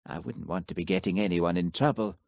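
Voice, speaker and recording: A man's voice: low-pitched, a little fast, creaky, no echo, clean studio sound. A man reading aloud as an older male professor who rambles and grumbles reluctantly. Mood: hesitant.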